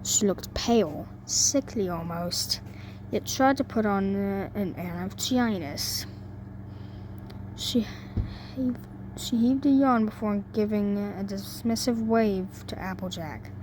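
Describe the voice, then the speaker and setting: A woman's voice: medium pitch, takes it slowly, a little mellow. A woman narrating a story in an amateur reading, stumbling over words and rereading them. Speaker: fan fiction reader. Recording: noisy amateur home recording.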